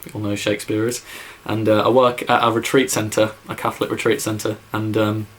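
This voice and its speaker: A man's voice: fairly low pitch, level tone, a little fast. A young man from England, near Birmingham, with a mild Midlands accent, casually answering viewer questions in a rambling way full of fillers. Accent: English Midlands. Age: young adult. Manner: rambling, lots of fillers and "like", says so himself. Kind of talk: casual vlog Q&A.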